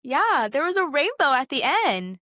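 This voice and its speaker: A woman's voice: sits high, very animated, moderate pace, sharp tone, no room sound, studio quality. A woman co-hosting a podcast in casual, conversational chat with a friend. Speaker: podcast co-host.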